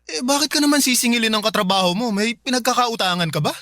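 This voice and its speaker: An actor playing an apologetic, questioning young boyfriend in a radio drama, with a Filipino accent. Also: male voice, very high voice, fast, pushed and bright, no room sound, clean studio sound, full character voice. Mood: suspicious. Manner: questioning, placating. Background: faint music.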